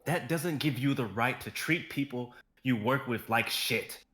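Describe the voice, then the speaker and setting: A man voicing a patient male assistant to a star, speaking calmly and gently in an amateur dramatic reading. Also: medium pitch, measured pace, roomy sound. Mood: reproachful. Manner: calm, gentle, soothing. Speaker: actor in a live script reading.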